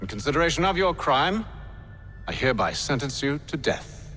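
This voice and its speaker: An actor playing a cool, cunning, middle-aged aristocratic father in a video game, British, with formal, measured, clipped diction. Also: on the high side, very animated, fairly bright tone, soft background music. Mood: stern. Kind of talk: video game cutscene acting.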